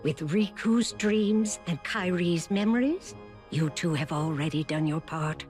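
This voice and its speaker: An actress playing a kindly, gracious elderly fairy godmother in a video game, gentle and polite. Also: on the low side, a little slow, faint music behind, close to an everyday voice.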